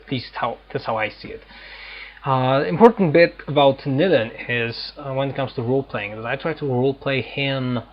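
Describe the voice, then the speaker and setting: A man giving casual, unscripted gameplay commentary, explaining things haltingly as he searches for words. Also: mid-range voice. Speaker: gamer hosting a playthrough. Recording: dull lo-fi mic, faint noise.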